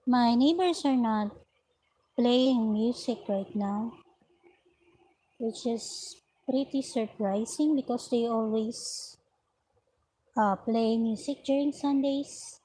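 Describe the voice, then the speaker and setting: Woman, crochet vlogger, slightly raspy, very slow, mellow tone, faint home noise. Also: on the high side, dry recording, poor audio. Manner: unhurried, chatty, talks while working. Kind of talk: slow casual vlog narration while crocheting. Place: at home, indoors.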